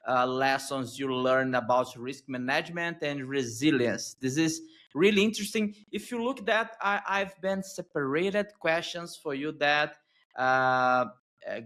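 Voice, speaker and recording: A man speaking non-native English with a foreign accent, interviewing as a podcast host in a halting, repetitive, agreeable way. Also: mid-range voice, pushed and bright. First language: not English. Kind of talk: casual interview.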